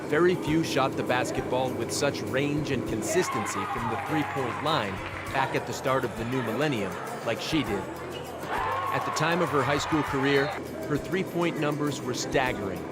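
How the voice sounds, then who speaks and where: A man reading a scripted tribute narration in a measured, formal way. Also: medium pitch. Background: music.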